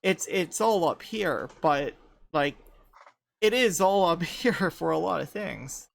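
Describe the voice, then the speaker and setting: A woman in her thirties in casual group chat, light voice without chest resonance, talking haltingly with many restarts. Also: lowish voice, lively intonation, gravelly. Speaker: podcast panelist.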